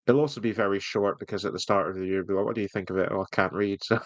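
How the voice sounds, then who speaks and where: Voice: low-pitched, fairly even intonation, rapid-fire, dry recording. Playful podcast co-host, dry close sound. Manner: playful, jokey banter. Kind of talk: casual chat review.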